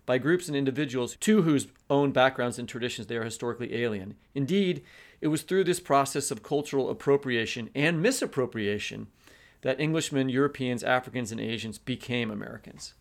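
A man's voice: mid-range voice, brisk. A man co-hosting a literary podcast, discursive and restarting sentences as he builds his point. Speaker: podcast co-host, teacher.

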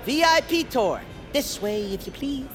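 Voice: high-pitched, animated, measured pace, fairly bright tone, soft background music. An actor playing a manic, paranoid, chatty psychiatric patient in an audio drama, rambling theatrically and slipping into whispers like a playful tour guide. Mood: playful, conspiratorial.

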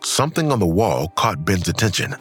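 An actor serving as the storytelling narrator of a suspense audio drama, reading scripted scene descriptions. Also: male voice, fairly low pitch, sing-song, brisk, fairly bright tone, breathy edge, soft background music, heavily acted. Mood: suspenseful.

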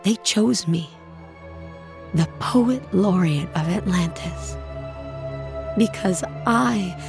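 An actress playing a haunted, solemn poet aboard a starship, narrating her story in a measured, lyrical, deliberate way. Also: fairly low pitch, gravelly. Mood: solemn, stirred. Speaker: narrator and lead character of an audio drama. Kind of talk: dramatic first-person scripted narration. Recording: dry studio with music behind.

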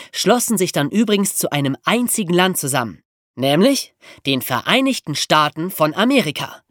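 A woman explaining things as a podcast host in a lively educational storytelling style. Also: lowish voice, dry recording, clean studio sound.

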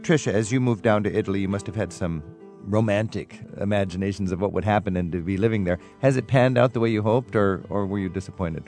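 A man's voice: on the low side, fast, darkish tone, soft background music. A man hosting a travel radio show, asking guests friendly, leading questions. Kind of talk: radio interview.

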